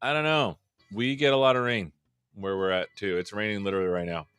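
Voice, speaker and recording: A man hosts a live tech stream with a bright, forward voice, rambling cheerfully and cracking jokes between greetings. He sounds dry and close to the mic, with a faint bed of music under him. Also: lowish voice, fairly quick, smooth, no echo. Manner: jokey, rambling and upbeat. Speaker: show host. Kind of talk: casual live-stream chat.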